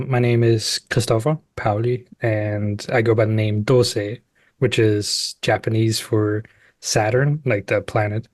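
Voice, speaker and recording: A man's voice: mid-range voice, darkish tone, dry recording, studio quality. A man from Denmark, introducing himself modestly on a writers' panel. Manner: slow, modest, laughs a little. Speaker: panelist, author. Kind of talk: casual panel chat.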